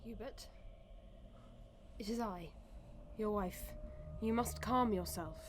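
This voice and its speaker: An actress playing an anguished, devoted wife who pleads in archaic, emotional language in a Victorian melodrama for audio. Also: female voice, mid-range voice, slow pace, slight background noise, natural voice. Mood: sorrowful.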